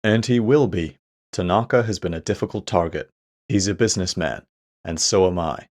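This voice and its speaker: A man's voice: low voice, moderate pace, a little mellow, close to an everyday voice. A man playing a cold, middle-aged crime syndicate boss in an audio drama, with a calculated, menacing manner. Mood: cold, calculating.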